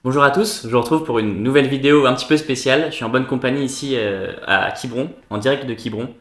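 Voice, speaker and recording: A man's voice: medium pitch, quick pace. A man hosting a casual round-table chat, hesitant, with many fillers and restarts. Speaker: host. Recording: echoey room.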